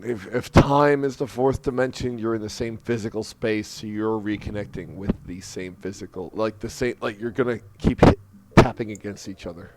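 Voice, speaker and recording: A man's voice: lowish voice, steady tone, normal speed, heavy background noise. A man on a podcast panel chatting casually, often stopping to correct and restart his phrasing. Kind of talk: casual group discussion.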